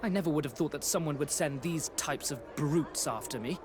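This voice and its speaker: An actor playing a haughty, foppish young aristocrat with affected, flowery politeness in a video game. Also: male voice, a little high, fairly quick, lightly acted. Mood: haughty, breezy. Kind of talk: video game cutscene acting. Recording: clear outdoor ambience noise.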